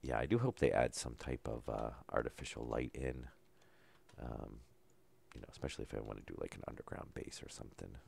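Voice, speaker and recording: A man calmly talking through a survival game as he plays, low-key and unhurried, with no put-on enthusiasm. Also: creaky, slight background noise. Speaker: gameplay commentator. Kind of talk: casual let's-play commentary.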